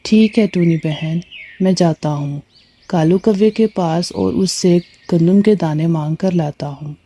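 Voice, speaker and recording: A woman's voice: lowish voice, measured pace, mellow. A woman playing a helpful, cautious male bird in a moral story cartoon, speaking earnestly. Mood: concerned, helpful.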